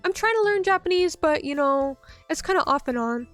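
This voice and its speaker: A woman's voice: high-pitched, expressive, fairly quick, fairly bright tone, faint music behind. A woman streamer chatting casually with her viewers, reading their messages aloud and rambling with lots of "like" and "um.". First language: English. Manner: rambling, many fillers like "like" and "um".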